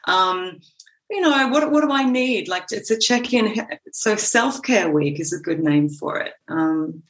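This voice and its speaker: A woman with an Australian accent, a therapist speaking as a podcast guest, relaxed and persuasive, laughing easily. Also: mid-range voice, a little slow. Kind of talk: casual podcast interview.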